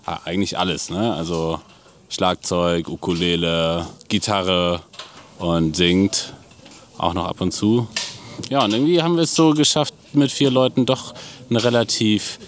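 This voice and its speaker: A man who plays double bass in a band, talking casually and thoughtfully in an interview. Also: steady tone, unhurried.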